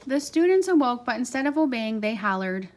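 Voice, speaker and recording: A woman's voice: a little high, big pitch swings, fairly quick, a little mellow. A woman narrating a children's storybook aloud as a parent, expressively stressing key words.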